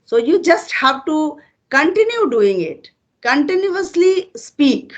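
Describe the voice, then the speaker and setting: Retired Indian teacher, older woman, Hindi native, patient and unhurried, slightly higher voice. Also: sing-song, muffled recording. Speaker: retired teacher and channel host. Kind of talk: casual interview conversation.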